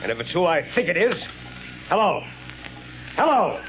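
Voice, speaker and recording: An actor playing a gruff, scornful police commissioner, brusque and blustering, in 1930s radio style. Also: male voice, high voice, big pitch swings, normal speed, faint music behind. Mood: impatient. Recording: muffled 1930s radio drama recording.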